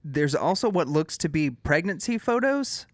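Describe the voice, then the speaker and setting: A man's voice: fairly high pitch, big pitch swings, normal speed, no room sound, clean studio sound. A man co-hosting a podcast with casual comedic banter in a teasing, mock-earnest manner. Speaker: podcast co-host.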